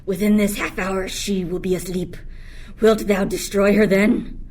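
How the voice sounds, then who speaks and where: Woman acting enslaved monster, resentful, scheming, dreamy, mid-pitched, verse radio drama. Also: flat delivery, measured pace, a little mellow, natural voice. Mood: eager plotting. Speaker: student actor. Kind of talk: Shakespearean radio play acting.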